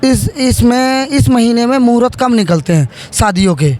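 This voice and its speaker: A man answering interview questions as a politician, halting and repeating phrases as he goes. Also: very high voice, animated, a little mellow. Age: 30s.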